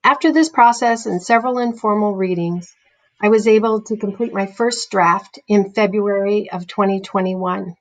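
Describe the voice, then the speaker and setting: A woman's voice: mid-range voice, moderate pace, low-quality recording. A young woman, a student playwright, giving a scripted presentation about a project. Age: young adult.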